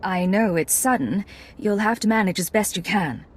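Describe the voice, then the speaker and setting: A woman's voice: mid-range voice, normal speed, close to an everyday voice. A woman acting a composed undercover intelligence agent in a video game, speaking in a clipped, formal, controlled way.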